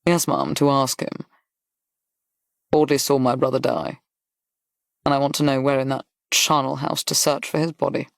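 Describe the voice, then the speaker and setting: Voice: mid-range voice, level tone, fairly quick, gravelly, dry recording, studio quality, natural voice. A narrator voicing a stiff, bereaved young officer in an audiobook, terse, formal and harsh. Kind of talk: audiobook character dialogue.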